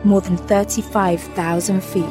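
A woman narrating a scripted audio drama in a measured, descriptive storytelling manner. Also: medium pitch, little pitch movement. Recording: music bed behind narration.

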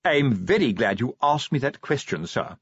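A man voicing a self-important, slow-witted middle-aged police sergeant in an audiobook, with a pompous, explaining manner. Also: mid-range voice, big pitch swings, slightly rough, dry recording, muffled recording. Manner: pompous, explanatory, tag questions.

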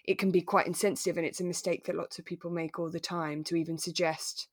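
A woman co-hosting a podcast, talking through a drama in a relaxed, thoughtful, explanatory way. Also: on the low side, quick pace, dry recording, clean studio sound. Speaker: podcast co-host. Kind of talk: relaxed conversational discussion of a radio drama.

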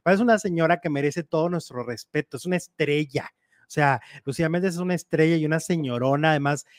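A man's voice: a little high, fast, dry recording, clean studio sound. Chatty man dishing gossip. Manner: animated, chatty, reads out news items. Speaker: gossip show co-host. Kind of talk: casual gossip chat.

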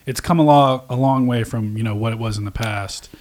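Man, mid-pitched podcast host. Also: fairly quick, a little mellow. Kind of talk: casual interview chat.

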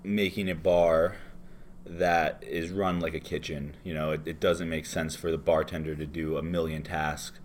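A man's voice: a bass voice, moderate pace, a little hiss or hum. A hungover American man in his thirties, a bartender telling loose, rambling stories as an interview guest. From United States. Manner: rambling, loose and animated storytelling. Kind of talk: casual interview chat.

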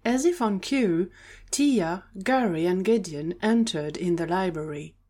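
A woman's voice: fairly low pitch, animated, a little slow, a little mellow. A woman narrator reading scripted story narration for an audio drama.

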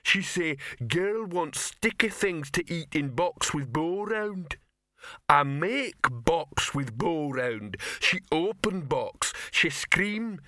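A dim, lovesick troll from a fantasy storybook, played by a man, full of glum grievance. He plods very slowly through broken grammar, pitched higher than the narration, close and dry. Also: bright, forceful tone, no echo, full character voice. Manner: very slow, plodding broken grammar. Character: mournful, lovelorn, dim male troll. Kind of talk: audiobook character voice.

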